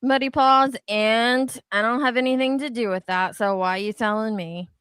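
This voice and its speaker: A woman's voice: on the high side, normal speed, a bit bright, smooth, no room sound, studio quality. A woman co-hosting a casual chat, reacting to comments in a sassy, teasing way. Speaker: co-host reacting to viewer comments.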